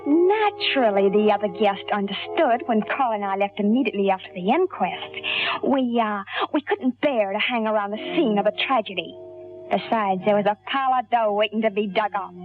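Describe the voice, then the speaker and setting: An actress playing a cold, scheming female gangster in a mid-century radio crime drama, speaking coyly and calculatingly with dramatic pauses. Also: mid-range voice, lightly acted. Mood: sly, confiding. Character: cold scheming female gangster, sly, coolly murderous narrator. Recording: tinny old radio recording.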